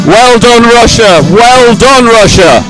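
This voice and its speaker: High-pitched man commentating over music. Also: takes it slowly, rough recording. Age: middle-aged. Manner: effusive, sentimental, repeats phrases for emphasis. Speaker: event commentator. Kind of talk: live, ad-libbed sports commentary. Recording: music playing behind the voice. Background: loud stadium music at a medal ceremony.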